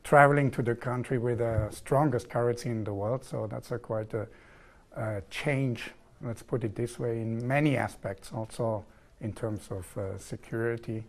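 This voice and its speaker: A man's voice: medium pitch, fairly even intonation. A man in his forties from southern Germany with a German accent, explaining his work carefully as a guest in a TV studio interview. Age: 40s. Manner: measured, explanatory, repeats set phrases.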